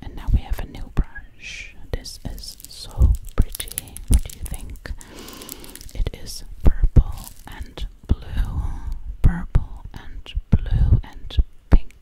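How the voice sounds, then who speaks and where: A woman doing ASMR in a breathy whisper, very close to the mic, soothingly repeating words over and over. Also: rough recording. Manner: slow, soothing, hypnotic repetition of words. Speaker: ASMR video creator. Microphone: very close ear-to-ear microphone.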